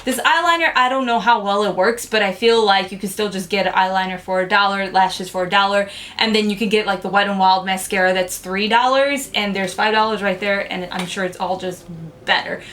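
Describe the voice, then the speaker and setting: A woman's voice: mid-range voice, fairly quick, a bit bright, slight background noise. A young woman chatting frankly to camera as she reviews cheap makeup, with lots of "like" fillers. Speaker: beauty vlogger.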